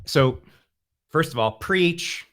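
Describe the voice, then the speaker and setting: A man speaking casually as an interview guest, with an animated, emphatic and playful way of talking. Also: fairly high pitch, slow pace. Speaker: podcast guest, musician.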